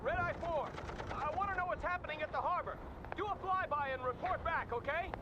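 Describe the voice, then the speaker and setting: A man's voice: extremely high pitch, fairly quick, highs cut off. A man playing a stern, demanding military commander in a video game, giving curt, authoritative orders. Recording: battle and vehicle noise.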